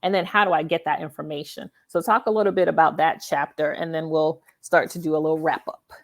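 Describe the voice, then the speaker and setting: A woman's voice: low voice, fairly even intonation, a little fast. A woman hosting a conversational interview, explaining emphatically and often checking in with the listener. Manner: emphatic, frequent check-in questions like "right?". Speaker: talk show host.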